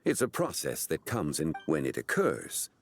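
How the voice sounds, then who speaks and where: A man's voice: a bass voice, wildly swooping pitch, moderate pace, exaggerated voice. A man playing a composed, erudite, grave keeper of ancient secrets in a video game, speaking formally and solemnly. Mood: calm, mysterious. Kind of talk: scripted video game acting, expository. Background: music.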